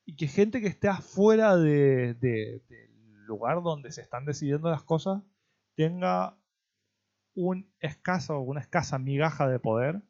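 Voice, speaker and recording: A man chatting casually as a podcast co-host, thinking aloud in a halting way. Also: fairly high pitch, animated, a little mellow, highs cut off. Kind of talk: casual conversational chat.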